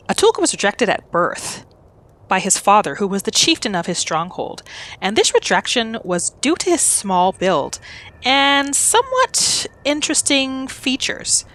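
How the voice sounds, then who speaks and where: A woman's voice: medium pitch, a bit bright. A woman narrating a character's backstory for a video game roleplay in a scripted storytelling style.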